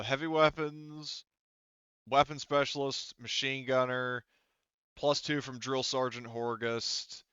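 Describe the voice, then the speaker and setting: A man's voice: mid-range voice, pushed and bright, clear, resonant tone, no room sound. A man casually explaining rules and narrating as the game master of a tabletop roleplaying game. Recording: dull lo-fi microphone.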